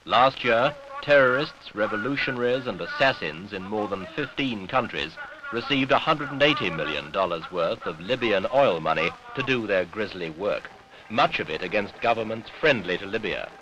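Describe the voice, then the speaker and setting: A man's voice: lowish voice, expressive, normal speed, muffled recording, noisy background. A British man reading scripted newsreel narration with pointed, dramatic phrasing on an old 1970s soundtrack.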